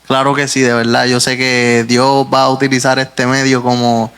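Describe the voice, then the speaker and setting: A man's voice: mid-range voice, monotone, normal speed, a bit bright, clear, resonant tone. Earnest Puerto Rican man hosting. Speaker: podcast host.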